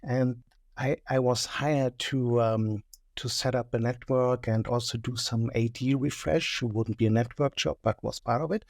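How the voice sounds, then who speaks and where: A man's voice: medium pitch, fairly even intonation, moderate pace, creaky, a little mellow, no room sound. Man, German accent, 40s, chatty, self-deprecating, dry close mic. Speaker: podcast guest. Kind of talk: casual podcast chat. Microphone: studio mic.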